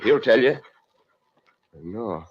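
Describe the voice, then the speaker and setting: A man's voice: medium pitch, lively intonation, drawn-out words, slightly rough, heavily acted. An actor playing a surly, evasive American farmer and father in an old-time radio drama, muttering terse fragments and barking orders. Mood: panicked, muttering. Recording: muffled, noisy old radio recording.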